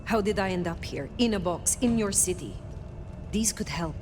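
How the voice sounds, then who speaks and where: An actress playing a stoic, blunt ancient vampire woman in a video game, speaking formally and deliberately. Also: fairly low pitch, lively intonation, background noise, lightly acted. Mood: stern. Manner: formal, deliberate, terse, direct.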